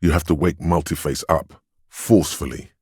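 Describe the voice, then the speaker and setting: A man voicing a stern, steadfast, protective inner voice of willpower in a video game, speaking in a measured, commanding, admonishing way. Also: fairly even intonation, darkish tone, no echo, clean studio sound. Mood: urgent warning. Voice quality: very deep, smooth, resonant. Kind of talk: dramatic second-person game narration.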